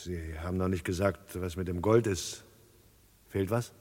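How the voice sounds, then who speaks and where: A man's voice: low-pitched, normal speed, darkish tone, big-room sound. A male actor in a German crime radio play, playing an anxious, defensive guard who feels cornered. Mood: subdued, uneasy. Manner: agitated, defensive, uneasy.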